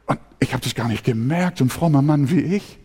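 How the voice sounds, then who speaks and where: A man's voice: mid-range voice, lively intonation, a little fast, a little mellow, a little hiss or hum. A man preaching a sermon as pastor, impassioned, sometimes mimicking grumbling churchgoers. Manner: impassioned preaching, acts out complaining voices. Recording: long hall reverb.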